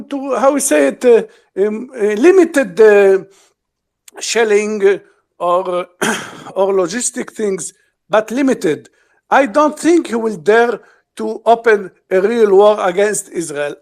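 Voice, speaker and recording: High-voiced halting man from Lebanon. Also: animated. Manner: halting, searching for words, many fillers. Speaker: guest analyst. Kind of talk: casual discussion.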